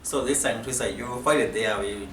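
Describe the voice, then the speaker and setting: A young Kenyan man, not a native English speaker, co-hosting a casual chat, playful and laughing, with halting phrasing. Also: medium pitch, background noise. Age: young adult. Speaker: co-host. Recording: echoey room, mic at a distance, clear music behind.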